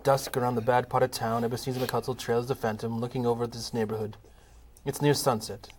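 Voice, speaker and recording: A man narrating the stage directions of an amateur comedy radio play in a plain, steady reading voice. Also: medium pitch, brisk, slight background noise.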